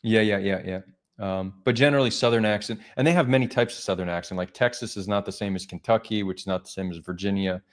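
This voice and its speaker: A man's voice: on the low side, quick pace. A man hosting a casual livestream chat, talking loosely with lots of fillers and restarts. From United States. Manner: rambling, many fillers and restarts. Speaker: livestream host.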